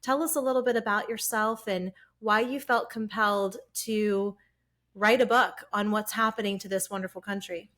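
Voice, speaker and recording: A woman hosting an interview show, opening with a deliberate, earnest introduction and then questioning her guest. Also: mid-range voice, fairly even intonation, a bit bright. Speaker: show host.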